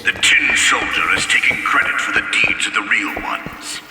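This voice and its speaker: Embittered old soldier, booming through echoes. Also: male voice, normal speed, creaky. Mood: impassioned, defiant. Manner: grandiose, theatrical speechifying. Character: embittered, fanatical old soldier, one-eyed war veteran. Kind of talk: dramatic video game acting. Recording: distant, heavily echoing hall, music behind.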